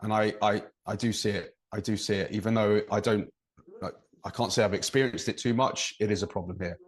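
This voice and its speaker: A man's voice: on the low side, fairly even intonation, fairly quick. An English man, a referee and podcast co-host, giving long thoughtful explanations in casual conversation. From England. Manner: thoughtful, explains at length with examples.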